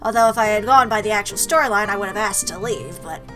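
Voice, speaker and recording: A woman's voice: medium pitch, fast, sharp tone, soft background music. A woman hosting a let's play, chatting playfully and reacting aloud as she reads through a game. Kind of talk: gaming commentary with read-aloud game text.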